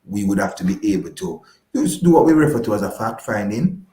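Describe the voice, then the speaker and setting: A man with a Jamaican accent giving explanatory interview answers as a financial advisor, halting and repeating phrases for emphasis. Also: on the low side, very animated, dark tone. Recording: roomy, echoing voice pickup.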